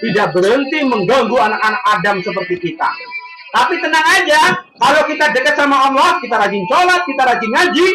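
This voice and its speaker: A woman telling religious stories to children in an animated way, questioning them and repeating phrases. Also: high voice, brisk. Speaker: children's storyteller with puppet. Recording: echoey room, loud music bed.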